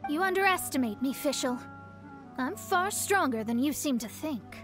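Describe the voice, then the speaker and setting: A woman's voice: sits high, expressive, moderate pace, a bit bright, soft background music. A woman voicing a proud, composed young astrologer mage in a game, articulate in delivery. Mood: assured, serious. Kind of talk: game cutscene voice acting.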